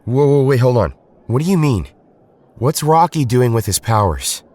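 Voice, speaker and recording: A man's voice: medium pitch, animated, moderate pace, a little mellow, no room sound, lightly acted. A man playing an earnest, troubled young superhero team leader in an audio drama, questioning and conscientious. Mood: alarmed.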